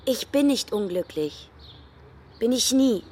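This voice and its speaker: A woman's voice: a little high, animated, takes it slowly, mellow, slight background noise. An actress playing a defiant, bitter young woman in a radio drama, curt, scornful and provocative. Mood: scornful, provoking. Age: young adult. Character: defiant, bitter young female rebel, hungry for revenge.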